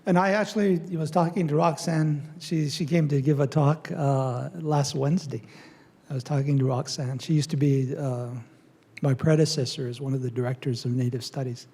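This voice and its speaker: An older man lecturing an audience, his voice gravelly and fried at the edges. The tone is mellow and dark, and he stops often to search for words, filling the gaps with fillers and restarts. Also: on the high side. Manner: halting, many fillers and restarts. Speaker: lecturer on Native studies. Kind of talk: public talk with audience Q&A.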